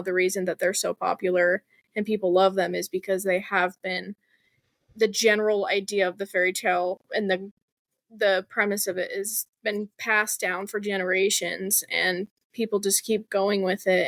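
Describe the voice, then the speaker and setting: A woman's voice: mid-range voice, no room sound. A woman speaking as a guest author in a casual interview, chatty and informal, with plenty of "like" and "you know".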